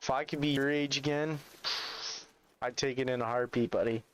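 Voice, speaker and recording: A man's voice: medium pitch, fairly even intonation, moderate pace, a little hiss or hum, low-quality recording. A man, chatting casually with his livestream chat while playing a hunting game. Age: 20s. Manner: laid-back, chatty, reads and answers chat. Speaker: streamer.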